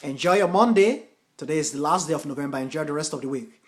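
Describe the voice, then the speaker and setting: Earnest man reading scripture, higher-pitched. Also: fast talker. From United States. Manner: declaims verses with forceful emphasis, then talks casually, addressing viewers as folks. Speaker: Buddhist translator and teacher. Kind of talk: scripture reading and informal teaching to viewers.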